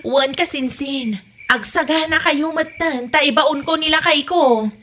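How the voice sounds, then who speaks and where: Woman as bossy young wife, high bright voice, husky edge, brisk, nagging, audio comedy-drama. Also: slight background noise. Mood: concerned, brisk. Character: practical, bossy young wife and helpful cousin.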